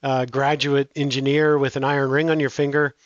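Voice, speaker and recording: A middle-aged man answering interview questions in a relaxed, halting way, with many restarts and fillers. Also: medium pitch, dry recording, dull audio. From Canada. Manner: hesitant, frequent restarts and fillers. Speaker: guest.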